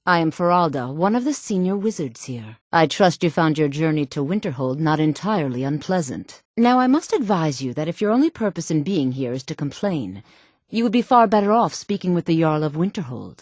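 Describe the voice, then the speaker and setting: An actress playing a proud, composed female senior wizard in a fantasy video game, with a sultry voice and formal, measured, lofty delivery. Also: sits low, very animated, darkish tone, no room sound, clean studio sound. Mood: stately, assured.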